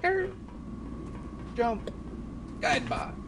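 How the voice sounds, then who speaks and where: A man's voice: high-pitched, huge pitch swings, extremely slow. A man in his twenties giving casual live commentary as he streams a game run. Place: indoor room at a streaming desk. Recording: distant mic, game noise behind. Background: game sound and music.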